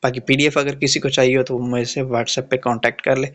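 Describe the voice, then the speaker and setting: A man's voice: mid-range voice, flat delivery, fast talker, fairly bright tone. A man with a Pakistani accent giving an informal, matter-of-fact exam-prep explainer, mixing in English phrases and reading questions aloud.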